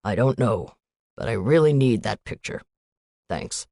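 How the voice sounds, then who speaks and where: A gruff, clipped male FBI agent with a low, businesslike voice, as a woman reads him. The sound is close and dry, with no room around it. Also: lively intonation, measured pace, darkish tone, no room sound, heavily acted. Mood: curt.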